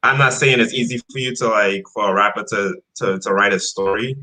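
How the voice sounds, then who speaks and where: A man's voice: lowish voice, brisk, low-quality recording. A man in his thirties from Trinidad, a rapper guest talking casually and rambling with fillers about his start in music. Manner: relaxed, rambling with fillers and restarts. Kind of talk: casual interview chat.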